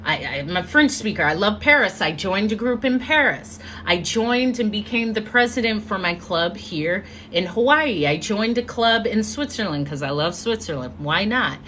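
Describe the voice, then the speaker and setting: A woman giving an earnest motivational talk as a live stream, telling her story with drawn-out pauses. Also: mid-range voice, animated, a bit bright, slight background noise. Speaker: motivational speaker. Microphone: phone or webcam mic.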